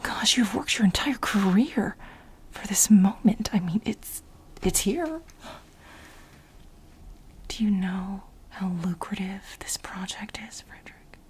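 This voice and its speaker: A woman playing an obsessive, exasperated administrative assistant in a soft-spoken, whispery ASMR role play, insistent and repeating herself as she pleads with her boss. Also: medium pitch, lively intonation, a little hiss or hum. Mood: urgent pleading. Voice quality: breathy, whispery. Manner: insistent, repetitive, halting for emphasis.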